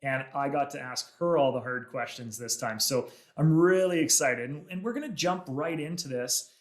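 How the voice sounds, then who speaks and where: A man hosting a podcast, enthusiastic and friendly, pausing often with "uh" as he introduces and questions his guest. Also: mid-range voice, reverberant. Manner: friendly, many "uh" pauses, enthusiastic. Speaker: podcast host. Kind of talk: casual interview chat.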